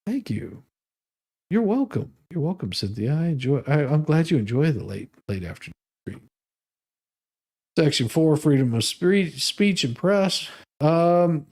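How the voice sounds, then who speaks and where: A man with a slightly high voice hosts a live stream. He reads formal text aloud and breaks in with offhand remarks of his own. His manner is sardonic and openly mocking. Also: a little mellow. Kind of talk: reading documents aloud with off-the-cuff commentary.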